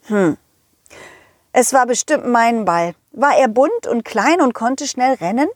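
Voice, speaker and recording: A woman voicing a confident, loyal, kindly dog who tells his adventures to children in a chatty, halting, empathetic way. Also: on the high side, expressive, dry recording, studio quality. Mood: earnest, kindly. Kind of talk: children's audio play storytelling.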